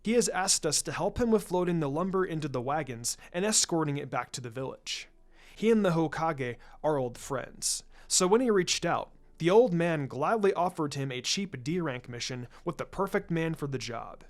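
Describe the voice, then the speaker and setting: Guy doing a laid back ninja sensei, measured n matter of fact, story reading. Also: on the high side, animated, lightly acted. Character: calm, laid-back adult male ninja teacher. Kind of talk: storybook-style character reading.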